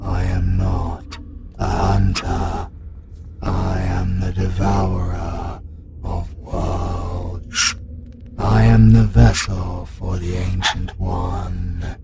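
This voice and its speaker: An ancient demon god, put on by a British man, speaks in a deep, gravelly voice and drags every word out slowly. He sounds cold and commanding, as if the world already belongs to him. In this fantasy audio roleplay, loud ambient noise runs behind him. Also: exaggerated voice. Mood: menacing. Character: ancient male demon god possessing a hunter, menacing.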